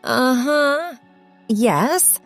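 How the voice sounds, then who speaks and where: Woman as a flustered, higher-pitched young boy. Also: big pitch swings, extremely slow, soft background music, exaggerated voice. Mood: reluctant admission. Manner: stammering, defensive.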